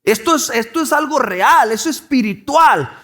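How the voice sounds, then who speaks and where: High-voiced Mexican pastor preaching. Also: male voice, expressive, a little slow, fairly bright tone. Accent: Mexican Spanish, Sonora coast. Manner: conversational, asks the congregation questions, many restarts. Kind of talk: sermon.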